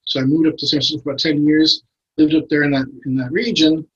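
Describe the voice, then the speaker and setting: Husky relaxed man, dull lo-fi audio. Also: on the high side, fast talker. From San Diego, USA. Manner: relaxed, plain-spoken, hesitant with fillers. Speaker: wine professional, guest. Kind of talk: casual interview answers. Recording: dull lo-fi sound.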